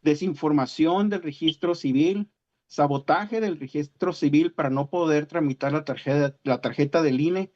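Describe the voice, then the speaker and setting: Male citizen speaker, northern Mexican accent, slightly high voice, formal read statement, muffled video-call sound. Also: normal speed, darkish tone. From Sonora, Mexico.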